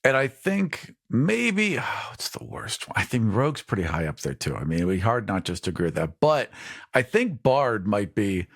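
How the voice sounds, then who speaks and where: A man co-hosting a podcast in casual chat, playful, quick to laugh and defend his choices. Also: fairly low pitch, sing-song. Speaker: podcast co-host.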